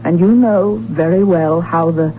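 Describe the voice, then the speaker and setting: A woman playing the loving, gently practical wife of an archduke in a radio drama, affectionate and mildly chiding. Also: on the low side, a little slow, a little hiss or hum, close to an everyday voice. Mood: tender concern. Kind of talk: 1970s radio drama acting. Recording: muffled old radio broadcast recording.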